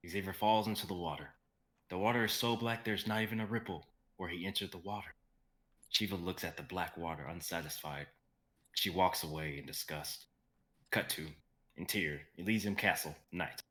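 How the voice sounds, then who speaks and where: Young male narrator for a staged script reading, lowish pitch, sharp bright tone. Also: normal speed. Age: young adult.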